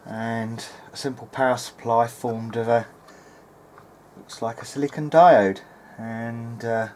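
A man's voice: medium pitch, steady tone, slow, a little mellow. A man thinking aloud hesitantly as he hosts a casual hands-on electronics demonstration at a workbench. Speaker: host, hobbyist electronics repairer. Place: indoor workbench. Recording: clear background noise, workshop room.

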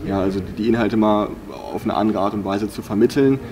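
A young man giving a casual, relaxed testimonial about a seminar. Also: lowish voice, fairly quick, over music. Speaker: seminar participant. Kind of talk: interview testimonial. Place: indoor sports hall.

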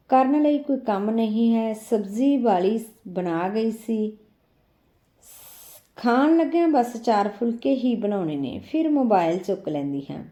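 A woman's voice: fairly high pitch, dark tone, dull audio. A woman reading an emotional first-person short story as a scripted audiobook narration.

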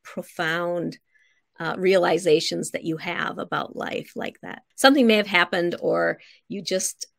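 A woman's voice: on the low side, unhurried, gravelly, no room sound. A middle-aged woman, a writing teacher, explaining conversationally as a guest on a video call from home. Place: home living room.

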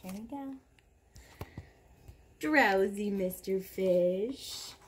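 A young woman speaking to a small child in a gentle, drawn-out way, as in a story time for toddlers. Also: medium pitch. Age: young adult. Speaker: parent reading to a toddler. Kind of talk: singing and story reading for toddlers. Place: covered backyard patio, outdoors. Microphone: camera at about arm's length. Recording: clear background noise outdoors.

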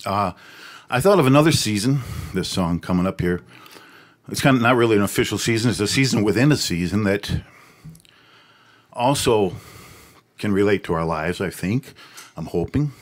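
An older man, a singer-songwriter, singing his own songs into a stand mic on a small cafe stage, with soft, halting spoken introductions between songs. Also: lowish voice, lively intonation. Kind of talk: singing original songs with acoustic guitar. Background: his own acoustic guitar.

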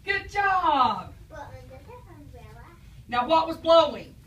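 A woman's voice: very high-pitched, sing-song, drawn-out words, fairly bright tone, a little hiss or hum. A woman teacher, quizzing and praising a class of young children after reading aloud. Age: adult. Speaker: preschool teacher. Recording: echoey classroom from far off.

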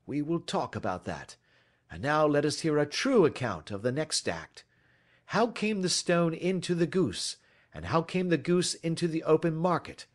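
A man voicing a stern, commanding detective in an audiobook, measured, authoritative and sardonic as he accuses. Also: a little high, big pitch swings, voice pushed up. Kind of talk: classic detective audiobook character acting.

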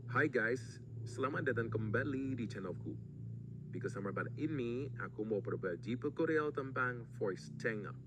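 A man's voice: medium pitch, expressive, normal speed. A man's voice altered by an AI voice changer, giving a scripted video greeting. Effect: AI voice-changer conversion. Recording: noisy AI-converted sample clip.

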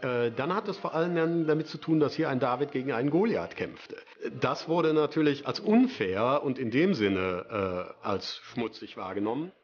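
A man's voice: mid-range voice, lively intonation, moderate pace. A man giving an unscripted expert explanation in a documentary interview. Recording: slightly dull interview sound, music underneath.

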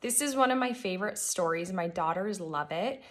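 An American woman in her thirties, a first grade teacher reading a children's storybook aloud in an expressive, emphatic way. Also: medium pitch, moderate pace. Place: living room at home, indoors. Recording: echoey room.

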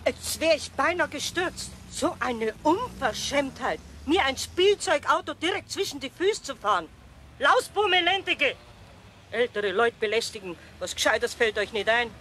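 A shrill, scolding old lady. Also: animated, normal speed, pushed and bright, a little hiss or hum. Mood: indignant. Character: scolding elderly woman, indignant and suspicious. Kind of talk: children's radio play.

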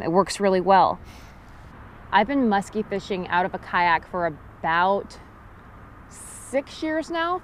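A woman in her thirties talks straight into an action camera held at arm's length while standing outdoors by a river. Her voice sits at an ordinary middle pitch, and her delivery is friendly and practical, turning emphatic whenever a safety rule comes up. A faint wash of outdoor noise sits under the speech. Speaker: fishing vlogger. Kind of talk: casual how-to talk to camera. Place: outdoors on a river gravel bank.

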